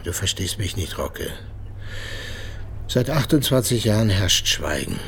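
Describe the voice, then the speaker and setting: A man's voice: on the low side, big pitch swings, a little slow, a bit bright, a little hiss or hum. An actor playing a brooding, resigned middle-aged man haunted by betrayal, subdued, in a German radio drama. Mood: brooding, insistent.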